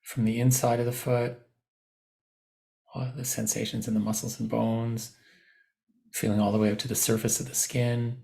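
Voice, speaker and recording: A man leading a guided meditation, giving gentle, unscripted instructions with softened, informal phrasing. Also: mid-range voice, flat delivery, normal speed, gravelly, long pauses.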